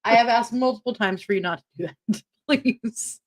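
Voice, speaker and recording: A woman's voice: on the high side, normal speed. A woman co-hosting a podcast in casual chat, reading show announcements and stumbling over her words. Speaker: podcast co-host.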